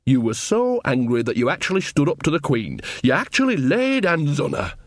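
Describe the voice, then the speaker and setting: Audiobook old witch, played by a man: folksy, unsentimental, motherly but bossy, with very animated swoops. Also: on the high side, normal speed, no room sound, clean studio sound. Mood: soothing. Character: brisk, blunt, unsentimental old country witch woman.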